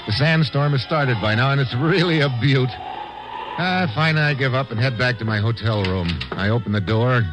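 An actor playing a tough, wry American adventurer in a 1950s radio drama, narrating and talking in terse, deadpan lines. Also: male voice, medium pitch, normal speed, natural voice, low-quality recording. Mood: tense narration. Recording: old radio recording with music.

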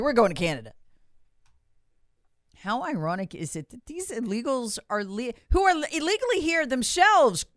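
Animated, high-voiced woman giving political commentary. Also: no room sound, studio quality. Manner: sarcastic, emphatic, repeats phrases for effect; acts out quotes conversationally.

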